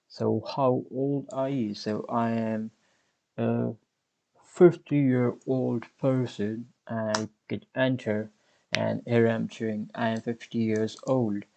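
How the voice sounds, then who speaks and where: A man's voice: mid-range voice, fairly even intonation, gravelly, mellow, rough recording. A man in his twenties hosting a programming tutorial, explaining code step by step as he types. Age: 20s. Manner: unhurried, explains step by step while typing. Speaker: coding tutorial host. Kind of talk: screen-recorded programming tutorial.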